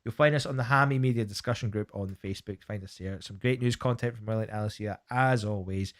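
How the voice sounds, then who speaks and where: A man's voice: on the low side, fast talker. A Scottish man co-hosting a wrestling podcast, chatting casually and running through the show's plugs. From Scotland. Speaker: podcast co-host.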